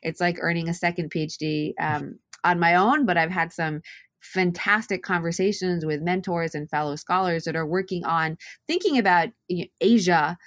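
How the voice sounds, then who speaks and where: A woman's voice: fairly low pitch, normal speed, a bit bright, no room sound, studio quality. A middle-aged woman from Japan, an author and professor, speaking thoughtfully in an academic interview with many fillers and checking "right?".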